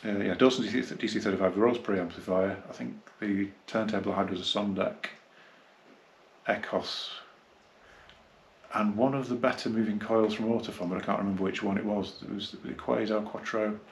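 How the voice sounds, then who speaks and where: A man gives a casual, unscripted hi-fi review, rambling and halting as he reminisces. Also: lowish voice, fairly even intonation, slight background noise, low-quality recording. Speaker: hi-fi dealer and reviewer. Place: small carpeted indoor room, seated.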